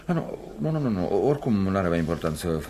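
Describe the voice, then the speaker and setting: A driven young office clerk in a radio drama. His voice sits low but swings widely in pitch, with an emphatic, determined delivery. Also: very animated, dark tone. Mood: earnest, defensive. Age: young adult. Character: Joe Lampton, ambitious, determined young male office clerk. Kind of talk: radio theatre acting.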